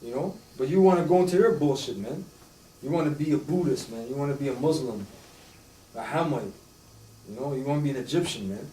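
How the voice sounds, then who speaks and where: A man's voice: mid-range voice, brisk, mellow. A man in a casual religious discussion, emphatic and forever tacking "man" onto his sentences. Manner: emphatic, repeats "man" often. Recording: echoey room from far off with faint noise.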